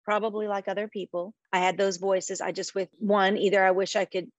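A woman's voice: mid-range voice, flat delivery, fairly quick, dry recording. A middle-aged woman guest in a faith-based interview, speaking with passion in a preacher-like way and quoting scripture.

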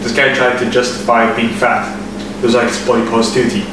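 A man's voice: medium pitch, little pitch movement, fast. Brash joking man in an echoey room. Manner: blunt, brash and joking, slangy. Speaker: podcast co-host. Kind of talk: casual gym podcast chat. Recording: echoey room, distant mic, noisy.

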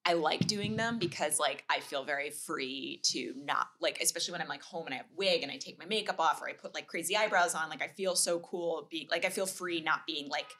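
A woman's voice: low voice, a little fast, hardly any pauses, bright, forceful tone. A woman who does impressions for comedy, chatting casually as a podcast guest in a rambling, self-deprecating way.

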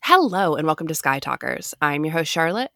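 A woman's voice: deep voice, very animated, a little fast, creaky, pushed and bright, no echo, studio quality. A woman co-hosting a casual fan podcast, talking with enthusiasm and laughing often. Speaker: podcast co-host.

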